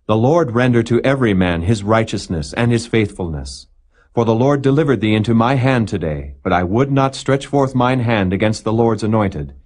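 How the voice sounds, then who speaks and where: A man's voice: on the low side, lively intonation, normal speed, a little mellow, pitched above the performer's own voice. A man reading a humble ancient Israelite warrior who pleads his innocence in formal, archaic, emphatic oratory. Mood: solemn, emphatic.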